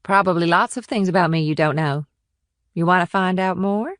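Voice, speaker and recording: A woman's voice: on the low side, moderate pace, a bit bright, no echo, studio quality, natural voice. A woman playing a confident, proud and flirtatious innkeeper in audiobook dialogue, with a poised, self-assured manner. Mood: inviting, flirtatious. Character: confident, proud, flirtatious businesswoman running an upscale inn.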